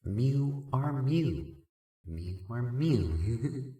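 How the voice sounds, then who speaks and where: A roomy, slightly distant recording of a man acting as a cool cat-like sprite in a podcast drama. The low voice takes its time, stretching words out playfully and tenderly. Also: animated, mellow. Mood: playful reassurance. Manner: slow, drawn-out cat puns. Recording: roomy echo, away from the mic.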